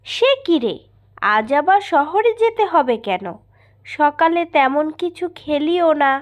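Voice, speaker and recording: Woman acting a high-pitched caring mother. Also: big pitch swings, slow pace, exaggerated voice. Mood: concerned. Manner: concerned, motherly. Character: caring village mother of a grown son.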